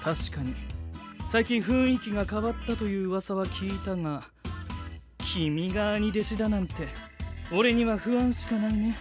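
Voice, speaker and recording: Cool young anime drama knight. Logical, cynical, deadpan, formal delivery, music behind. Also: male voice, high-pitched, unhurried. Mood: detached. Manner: stiff, analytical, deadpan phrasing. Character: coolly logical, cynical young fantasy knight, scientific observer. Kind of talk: anime audio drama acting.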